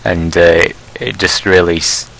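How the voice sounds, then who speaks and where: A man with a very low voice and a bright, forceful edge to it. He chats casually on a podcast and rambles through his points with plenty of fillers and restarts. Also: fairly even intonation, measured pace, gravelly, poor audio. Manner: laughs at his own blunt jokes. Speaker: leader of a Prussian group in an online game.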